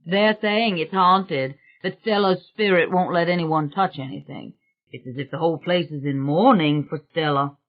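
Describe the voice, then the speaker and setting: A woman voicing a wry, knowing male society gossip in an audiobook, speaking deliberately. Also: low-pitched, dull audio, lightly acted.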